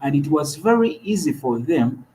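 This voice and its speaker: A man's voice: a little high, sing-song, moderate pace, warm tone, slight background noise, low-quality recording. Earnest Ugandan man with faint ambience. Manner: earnest, repeats words and restarts often. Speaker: talk show co-host. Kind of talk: opinionated political commentary.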